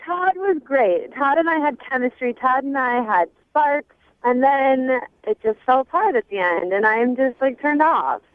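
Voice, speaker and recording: A woman's voice: high voice, lively intonation, normal speed, no echo. A young adult woman chatting casually as a phone-in radio guest, sassy, candid and wry. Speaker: phone-in guest on a dating segment. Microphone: telephone. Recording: thin phone line.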